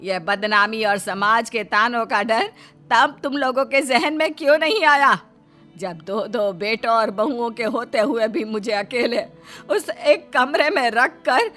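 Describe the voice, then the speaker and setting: Woman voicing old widowed mother, wounded yet defiant, impassioned, forceful high-ish voice, family story drama. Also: measured pace, faint music behind. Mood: accusing, wounded. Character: wounded, determined elderly widowed mother wanting to remarry. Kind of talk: melodramatic Hindi family story acting.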